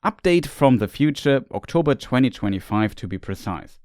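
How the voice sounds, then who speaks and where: A man talks through fantasy book lore at a steady, mid-range pitch. His delivery is measured and explanatory, like a prepared narration. The sound is dry and close, with no room echo. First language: German. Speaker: lore video creator.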